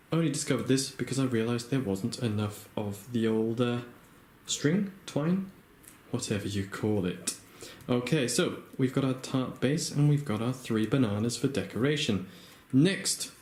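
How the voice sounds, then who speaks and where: A man's voice: mid-range voice, darkish tone, reverberant. A man casually narrating a felt craft project at home, chatty and hesitant with many ums and uhs. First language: not Japanese. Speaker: crafting vlogger. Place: home room, working at a table.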